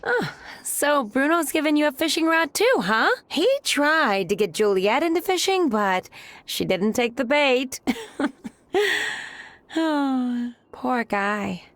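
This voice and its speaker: A high, singsong, slow voice plays an easygoing villager. She is chatty, wry and sympathetic, in cozy game style. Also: lively intonation, fairly bright tone, no room sound, heavily acted. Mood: amused, sympathetic.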